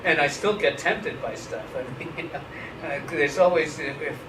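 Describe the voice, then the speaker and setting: A wry, frank man in his late fifties, speaking a little higher than most men as he answers questions in a relaxed interview. He talks loosely, swears mildly, and restarts his sentences often. The recording is roomy and distant, with clear noise underneath. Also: normal speed. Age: 50s. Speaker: interviewee, writing scholar. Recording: echoey room, mic at a distance, noisy.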